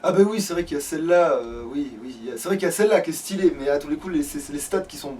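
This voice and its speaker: A man's voice: on the high side, very fast, darkish tone, slight background noise. Sweary man gaming, distant from the mic. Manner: sweary, hesitant thinking aloud. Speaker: let's play host. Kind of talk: casual gaming commentary.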